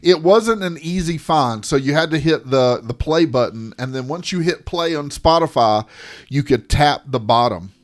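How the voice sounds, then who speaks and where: A man from the Southern United States co-hosts a podcast. His voice sits a little high for a man. He talks in a loose, rambling way, often restarting sentences and gently teasing his co-host. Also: animated, moderate pace. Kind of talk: casual chat.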